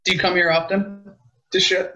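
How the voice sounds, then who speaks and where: A young man with a noticeably high voice, goofing around and telling a joke with a storyteller's pauses. He giggles at his own lines and keeps the banter light. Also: little pitch movement, sharp tone, dull audio. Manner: playful, giggly, tells jokes. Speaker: podcast co-host. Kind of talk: joking casual banter.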